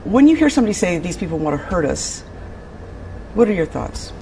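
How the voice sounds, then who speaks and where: A man's voice: high voice, very animated, brisk, a little mellow, slight background noise, poor audio. A man hosting a broadcast interview as the interviewer.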